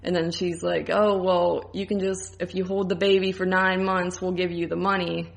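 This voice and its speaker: A woman with a creaky, fairly low voice chats as a podcast co-host. She reviews a film in an informal, conversational way, with vocal fry running through her speech. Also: flat delivery, moderate pace. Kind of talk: casual film review chat.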